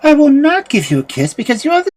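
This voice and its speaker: A woman's voice: high voice, huge pitch swings, fast talker, darkish tone, voice pushed up. A woman voicing a frightened but defiant young girl in a fairy tale reading. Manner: pleading, defiant.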